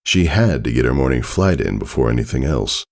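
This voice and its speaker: A man's voice: rumbling low, very animated, brisk. A man reading a story aloud as narrator, in a scripted storybook style. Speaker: narrator, fan fiction reader.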